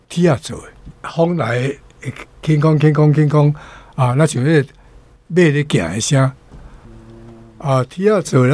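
A man's voice: a little high, a little mellow, no echo. A man with a Taiwanese accent teaching vocabulary conversationally, repeating words as he explains and sometimes reciting folk verse. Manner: explanatory, repeats words, frequent filler particles.

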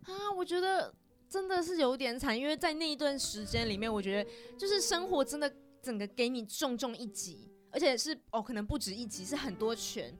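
Quick, high-voiced Taiwanese young woman. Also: lively intonation, soft background music. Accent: Taiwanese Mandarin. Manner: empathetic, reflective. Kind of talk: casual conversation.

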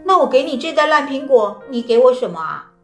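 A woman's voice: sits high, big pitch swings, brisk, pitched above the performer's own voice. A woman voicing a tavern worker who answers in short, matter-of-fact phrases. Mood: blunt. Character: blunt tavern worker man. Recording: roomy, with faint music.

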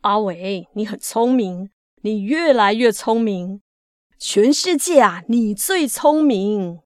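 A man's voice: high-pitched, lively intonation, slow, darkish tone, no echo. A proud working-class father, acted out by a woman storyteller, encouraging and doting. The voice sits close and dry, made for a told story. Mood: doting, praising.

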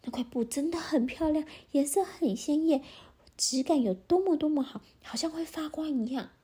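A woman voicing a nervous, flattering minister of the king in a children's story. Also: high-pitched, normal speed, voice pushed up, lightly acted. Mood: fawning. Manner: gushing, fawning praise.

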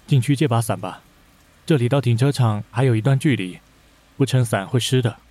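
Guy acting cool curt company boss around thirty, mid-pitched, radio drama. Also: brisk, a little mellow, lightly acted. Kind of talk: modern romantic comedy radio drama acting.

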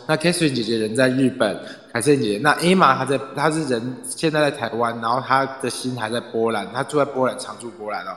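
A man's voice: mid-range voice, fast talker, few pauses, a bit bright. A man hosting an online audio club, speaking casually as he gives closing thanks and introductions. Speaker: club president and host. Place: online group audio chat room. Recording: roomy, reverberant online voice-chat audio.

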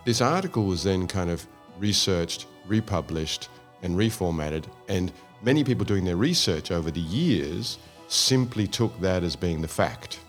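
A man's voice: low voice, expressive, a little slow, hardly any pauses, soft background music. A man from Australia hosting an interview, chatty and telling stories as he asks questions. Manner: chatty, storytelling. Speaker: interview host. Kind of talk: conversational interview.

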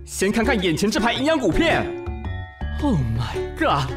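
Theatrical, excitable fussy man, wildly animated. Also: sits high, moderate pace, over music. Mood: frantic. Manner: theatrical, exclamatory, self-questioning. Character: fussy, excitable, vain man smitten at first sight. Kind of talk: audio drama acting with inner monologue.

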